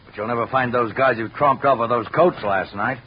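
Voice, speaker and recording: On a muffled old radio recording with faint noise, an actor plays a bitter, surly night watchman. He is a man with a mid-pitched voice, curt, sarcastic and on the defensive. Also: brisk, close to an everyday voice. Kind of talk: 1950s radio drama acting.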